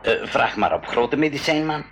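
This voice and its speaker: An actor playing an eager, talkative man on a jungle expedition in a 1980s radio drama, with a lively, explanatory manner. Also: mid-range voice, normal speed. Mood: eager, polite. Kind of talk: 1980s Dutch-language school radio drama acting.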